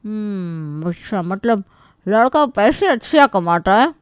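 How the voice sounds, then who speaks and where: A woman's voice: mid-range voice, lively intonation, takes it slowly, clear, resonant tone, no echo, studio quality. A woman voicing a shameless, bossy aunt in a Hindi comic story, with a sarcastic, theatrical manner. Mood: shrewd. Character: brash, shameless, gutka-chewing Indian aunt, bossy and theatrical.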